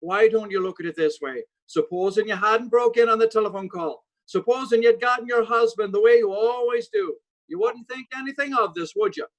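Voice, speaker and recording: An actor playing a weary, dismissive city police sergeant in 1940s radio drama style, placating and patronizing. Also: male voice, sits high, a little fast, hardly any pauses, fairly bright tone, rough recording. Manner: hesitant, placating, then brusquely patronizing.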